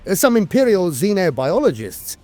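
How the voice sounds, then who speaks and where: A man reading scripted fantasy war lore as a narrator, in a theatrical, deliberate manner with pauses and hesitant filler sounds. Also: high-pitched, very animated, darkish tone. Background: music.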